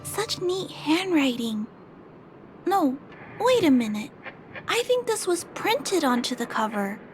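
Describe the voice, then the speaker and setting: A woman voicing a gentle, curious young girl in a video game, polite and musing thoughtfully aloud. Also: high voice, sing-song, slow, heavily acted. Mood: curious, pondering. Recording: game music behind the voice.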